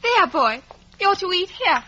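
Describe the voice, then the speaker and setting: Haughty, very high-voiced spiteful girl. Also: very animated, unhurried, sharp tone, a little hiss or hum, exaggerated voice. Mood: scornful. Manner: imperious, scornful. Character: haughty, spiteful young girl taunting a boy. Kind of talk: 1950s radio drama acting. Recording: muffled vintage radio recording.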